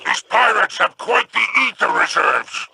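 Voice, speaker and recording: A sly alien crime boss from a video game. The voice is thick with gravel and very forceful, drawling slowly with gloating, cynical mockery. Faint noise sits behind it. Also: male voice, sits high, sing-song, full character voice. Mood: smug, mocking. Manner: slow, mocking, drawn-out delivery. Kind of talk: video game character acting.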